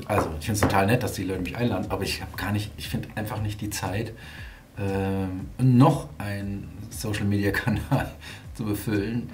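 A man's voice: on the low side, fairly even intonation, normal speed. Casual male vlogger, noisy background. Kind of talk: casual vlog chat. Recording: clearly audible ambient background noise.

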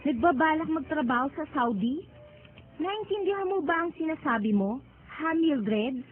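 A woman's voice: high voice, lively intonation, moderate pace, soft background music. An actress playing a concerned young Filipino woman in a radio drama, incredulous and questioning a friend. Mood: incredulous, worried. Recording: tinny phone-like narrow band.

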